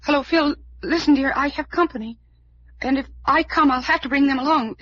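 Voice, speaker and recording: A woman's voice: high voice, brisk, a bit bright. A woman playing an anxious, tender young woman in danger, with a hesitant, pleading manner, in a vintage radio mystery serial. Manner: fretful, faltering, pleading. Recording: dull, faintly hissy old radio recording.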